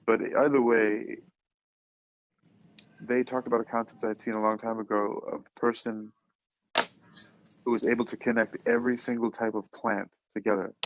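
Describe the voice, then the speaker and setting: Low-voiced man rambling on a muffled podcast. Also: level tone, normal speed, pauses often, slight background noise. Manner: rambling, musing. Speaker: podcast co-host. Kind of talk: casual chat. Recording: dull, muffled lo-fi audio.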